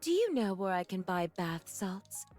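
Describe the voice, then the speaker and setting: An actress voicing a poised, courteous hot spring owner in a video game, speaking politely and in measured phrases. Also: female voice, mid-range voice, big pitch swings, a little mellow, soft background music. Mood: courteous, inquiring. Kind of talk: video game character dialogue.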